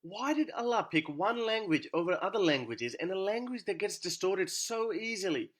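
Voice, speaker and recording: A man's voice: high-pitched, lively intonation, normal speed, a bit bright. A man whose first language is Urdu, delivering a scripted, argumentative monologue full of sarcastic rhetorical questions. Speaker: YouTube commentator.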